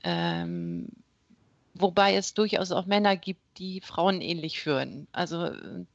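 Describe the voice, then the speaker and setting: A middle-aged woman from northern Germany, a judge and court president, answering interview questions in a measured way with frequent hesitations. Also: on the low side, very animated, gravelly, dry recording, dull audio. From East Frisia, Germany. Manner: measured, many hesitation sounds.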